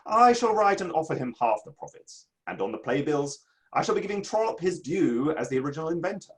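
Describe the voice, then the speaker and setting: A man's voice: on the high side, big pitch swings, fairly quick. A man playing a pompous, self-satisfied Victorian playwright in a theatrical dramatic reading. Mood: defensive, haughty. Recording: online reading from home setup.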